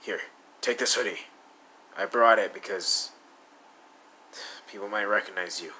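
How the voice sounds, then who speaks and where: A man's voice: on the low side, a little slow, bright, forceful tone, slight background noise. A man voicing a brooding young male villain with blue fire, muttering and sometimes whispering in a fan audio roleplay.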